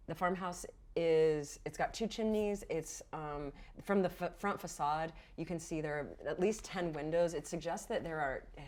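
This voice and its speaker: A woman running a tabletop roleplaying game as game master, narrating casually with playful, teasing banter. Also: deep voice.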